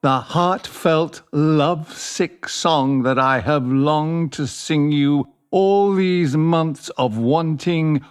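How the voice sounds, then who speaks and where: A man playing an amorous, poetry-loving British lover in an audio drama, measured, romantic and wry. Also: a little high, clean tone. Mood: tender, romantic.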